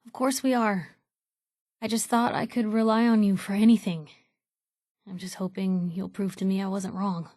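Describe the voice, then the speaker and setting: A spunky young woman reporter, played by an actress as a video game companion, recorded close and dry. She talks in a wry, colloquial way, expressive and quick to wisecrack, with an earnest conscience underneath. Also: medium pitch, no room sound, close to an everyday voice.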